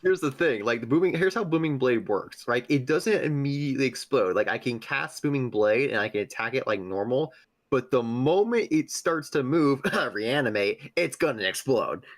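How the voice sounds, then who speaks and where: A man's voice: mid-range voice, expressive, moderate pace. A man playing a tabletop game, casually explaining rules and moves, with some swearing.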